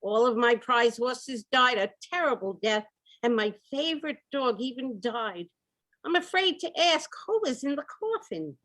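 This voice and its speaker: A woman's voice: a little high, lively intonation, measured pace, fairly bright tone. A woman playing a distraught, incredulous rich husband who owns a mansion, in an old-time radio comedy style. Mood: incredulous despair. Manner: dramatic, incredulous, repetitive laments. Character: distraught rich mansion owner, husband, reeling from piling losses.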